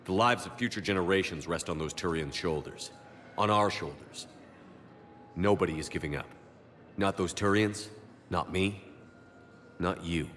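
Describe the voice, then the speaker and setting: Low-voiced, resolute military commander. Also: male voice, normal speed, long pauses, a bit bright, in a large hall, slight background noise. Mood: rallying. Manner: firm, earnest, rallying. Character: resolute military commander, steadfast male leader in space war. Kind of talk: video game voice acting.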